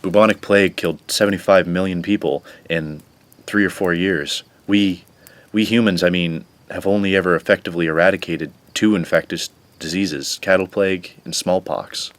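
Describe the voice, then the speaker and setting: Man as a low-voiced nerdy science whiz. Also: fairly even intonation, moderate pace, close to an everyday voice. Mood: grim, explanatory. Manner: explanatory, precise, hesitant. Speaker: actor in an audio drama. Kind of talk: radio drama acting.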